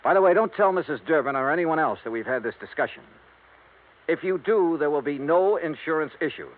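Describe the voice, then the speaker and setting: An actor playing a blunt, hardboiled insurance investigator in a 1950s radio drama, asking brisk, dry questions. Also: male voice, on the high side, expressive, natural voice. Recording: tinny lo-fi 1950s radio broadcast with faint hiss.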